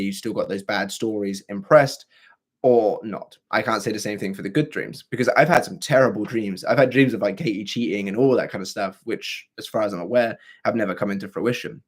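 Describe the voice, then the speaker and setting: A hoarse young man with a scratchy, throaty voice, hosting a livestream and chatting casually with viewers. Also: on the low side. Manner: chatty and rambling, with frequent fillers and throat clearing. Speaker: livestream host. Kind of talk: casual livestream chat answering viewer comments.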